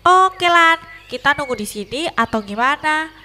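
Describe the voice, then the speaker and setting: A woman playing a friendly, agreeable young college student with speech in an animated story. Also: sits high, unhurried, a little hiss or hum, heavily acted. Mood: cheerful. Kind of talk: animated horror story dialogue.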